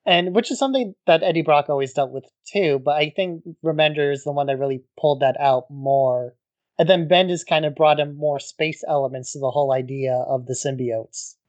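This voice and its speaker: A man co-hosting a podcast, talking in a relaxed way with wry asides. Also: on the high side, fairly quick. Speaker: podcast co-host. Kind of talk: casual podcast discussion.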